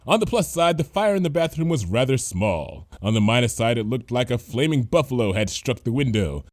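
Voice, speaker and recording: A man reading a scripted comedic story as narrator, in an expressive storytelling manner. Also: mid-range voice, brisk, no echo.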